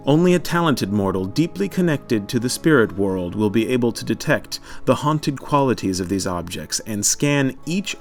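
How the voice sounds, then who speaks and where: A man reading scripted narration in a formal, theatrical manner. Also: medium pitch.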